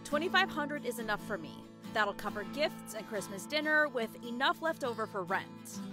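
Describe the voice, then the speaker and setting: A woman plays a nervous, careful accomplice in an audio drama. Her voice is high and very animated, with music clearly playing behind it. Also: normal speed, lightly acted. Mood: worried, cautious. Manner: worried, cautioning. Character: anxious, cautious female accomplice.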